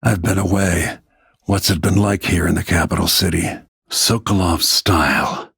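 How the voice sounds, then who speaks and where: A man voicing a grim, battle-worn middle-aged royal protector and assassin in a video game, with terse, brooding, measured delivery and a gravelly, husky voice. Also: low voice, steady tone, a bit bright, dry recording, clean studio sound, exaggerated voice. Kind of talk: scripted video game character lines.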